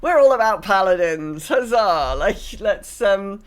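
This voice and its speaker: Woman, podcast host, casual gaming discussion, mid pitch, wry, sardonic asides. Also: lively intonation, a little slow. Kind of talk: casual discussion of a video game.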